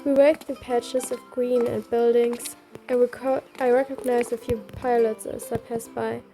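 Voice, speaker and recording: A woman's voice: fairly high pitch, level tone, normal speed, warm tone. A woman reading a game story aloud, both the narration and the dialogue. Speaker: reader. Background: faint music.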